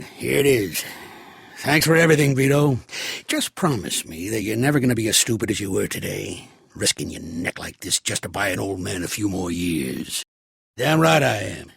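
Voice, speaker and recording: An actor playing an older American mafia boss in a video game, world-weary, shrewd and gruffly fatherly, talking blunt street slang in a gravelly voice. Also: mid-range voice, fairly bright tone, no echo, studio quality, full character voice. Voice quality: gravelly, raspy.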